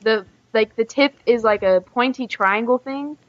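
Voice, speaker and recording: Young American female guest, fairly high voice, chatty and informal, dull but dry call recording. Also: measured pace, dry recording. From USA. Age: young adult. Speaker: interview guest, exchange student. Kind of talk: casual chat. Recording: dull lo-fi internet call audio.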